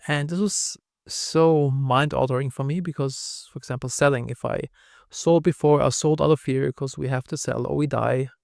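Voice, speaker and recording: German-accented man, gravelly and candid. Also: medium pitch, fairly even intonation, moderate pace, no room sound, clean studio sound. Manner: candid, storytelling, self-deprecating. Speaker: podcast guest, founder. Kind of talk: casual interview talk.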